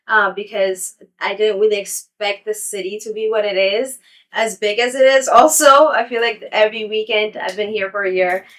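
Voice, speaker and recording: A young woman, an English teacher, talking casually in an interview with many ums and soft laughs while walking around her apartment building. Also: medium pitch. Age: young adult. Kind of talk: casual interview and home tour.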